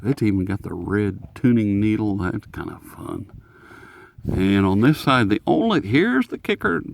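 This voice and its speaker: A man's voice: a little high, gravelly. A man hosting a casual, unscripted radio review in a folksy, jokey, rambling manner. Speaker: host of radio review videos.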